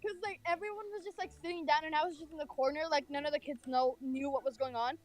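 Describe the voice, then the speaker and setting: Very high-voiced woman telling a casual story. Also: fairly even intonation, fast talker. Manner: self-deprecating, sweary, giggly. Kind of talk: casual humorous personal anecdote. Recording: music behind the voice. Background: background music.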